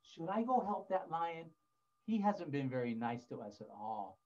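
A man voicing a tiny, loyal mouse in a children's story, soft-spoken and plucky. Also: a little high, very animated, moderate pace, highs cut off. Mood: hesitant.

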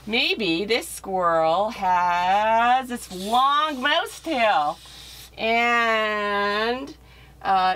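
A woman's voice: on the high side, a bit bright. A middle-aged woman giving an improvised drawing lesson for children, thinking aloud in a playful, encouraging way as she draws. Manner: playful, encouraging, talking in bursts while drawing. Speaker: children's author-illustrator giving a drawing demonstration.

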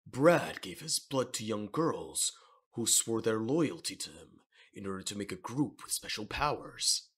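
A narrator reading scripted manga audio drama captions in a subdued, measured way. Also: mid-range voice, big pitch swings, breathy edge.

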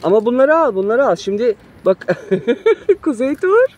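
A woman's voice: fairly high pitch, big pitch swings, normal speed, darkish tone. A woman in her thirties, a mother filming her children as they play in a backyard, talking in a playful, coaxing way. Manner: playful, coaxing, laughs often. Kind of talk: casual family vlog narration. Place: backyard play area outdoors. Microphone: handheld phone camera.

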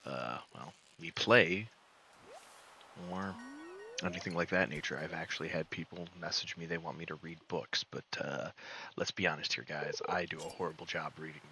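Young male streamer, deep and bright-toned, soothing and laid-back, jokey mumbled asides, light background hiss. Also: moderate pace, gravelly. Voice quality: soft, soothing. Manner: relaxed, joking, mumbles asides softly. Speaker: gaming streamer. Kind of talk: casual gameplay commentary.

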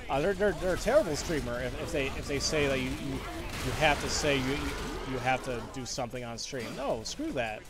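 A man's voice: mid-range voice, lively intonation, fairly quick. A young man streaming a game, chatting casually with viewers, rambling with many restarts and blunt opinions. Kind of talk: casual game commentary with chat replies. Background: game music and sound effects.

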